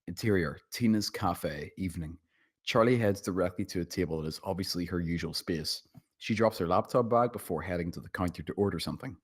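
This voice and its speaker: Deep husky man reading stage directions. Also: a little fast. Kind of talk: scripted narration at table read.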